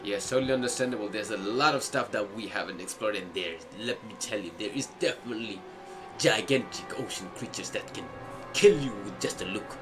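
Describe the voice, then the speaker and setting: A man's voice: on the low side, brisk, music in the background. A man hosting a casual game playthrough, reacting and talking back to the game, often repeating phrases. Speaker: gaming video host.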